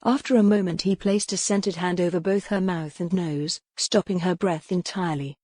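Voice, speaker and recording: A woman's voice: fairly low pitch, steady tone, normal speed, darkish tone, dry recording, clean studio sound. A woman reading a historical novel as scripted, dramatic audiobook narration.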